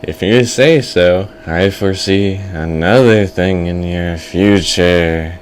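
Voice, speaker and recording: Man doing a kooky old fortune teller, drawn-out. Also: deep voice, a little hiss or hum, pitched below the performer's own voice. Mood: ominous, menacing. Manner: drawn-out, ominous pronouncements. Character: kooky, sinister old male fortune teller, falsely kindly.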